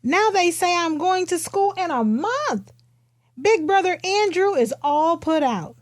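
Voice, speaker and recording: Woman reading as high-voiced little storybook girl. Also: unhurried, no echo, studio quality. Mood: earnest, matter-of-fact. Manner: simple, expressive child-story phrasing.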